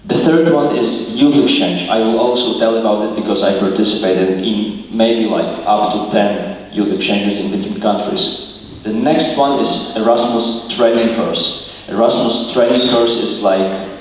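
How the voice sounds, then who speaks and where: A young man from Ukraine gives a student presentation in English, earnest and plain as he works through his slides. His voice sits on the low side and he is picked up from a distance. The sound rings with long reverb from a large hall, with a faint hiss underneath. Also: measured pace. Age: young adult. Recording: echoey hall, mic far off, faint noise.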